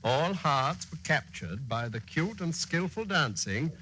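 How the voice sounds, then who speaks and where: A noisy old radio recording carries a grandiose, declamatory man. His pitch swings widely and his tone is bright and forceful, as he reads florid lines in 1940s radio drama fashion. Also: sing-song, a little slow, full character voice. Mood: florid, proclaiming. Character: grandiose philosopher and small-town newspaper reader, pompous.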